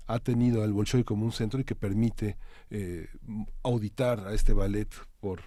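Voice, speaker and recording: A man co-hosting a live discussion, commenting on culture in a halting, discursive way with frequent tag questions. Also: on the low side, fairly even intonation, mellow, dry recording. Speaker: co-host.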